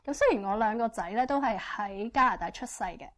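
A woman interprets a church testimony sentence by sentence, speaking quickly and fluently with frequent fillers. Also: mid-range voice, lively intonation. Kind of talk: consecutive interpretation.

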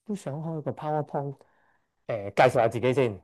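A man's voice: mid-range voice, lively intonation, a little fast, a little mellow. A middle-aged man from Hong Kong giving a church testimony, hesitant, often correcting himself and chuckling shyly. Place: church service.

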